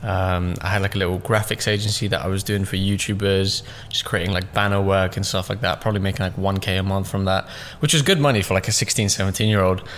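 Young guy, rambling podcast guest chat, a bit low, faint room hum behind. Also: steady tone, quick pace, hardly any pauses, a bit bright. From born in Russia, raised in southeast London. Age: 20s. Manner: loose and rambling, many fillers and "like"s. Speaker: podcast guest, business owner. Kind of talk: casual interview chat.

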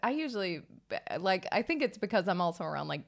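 A lively woman in her thirties, a stand-up comic, whose pitch swings widely as she talks. She speaks bluntly and drops profanity without hesitation in casual podcast chat. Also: fast talker, vocal fry. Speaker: podcast co-host, stand-up comedian.

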